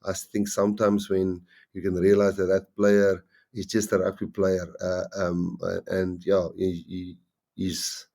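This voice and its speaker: A man's voice: sits low, monotone, gravelly, mellow. A middle-aged man with a strong Afrikaans accent, a rugby coach chatting casually on a live stream, rambling and restarting his sentences often. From South Africa. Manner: rambling, halting, frequent restarts.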